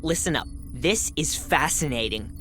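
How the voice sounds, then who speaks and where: Animated, eager science advisor. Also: female voice, deep voice, normal speed, faint music behind. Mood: eager, explaining. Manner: explanatory, eager, matter-of-fact. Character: eager, brisk science advisor giving mission briefings. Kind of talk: video game cutscene acting.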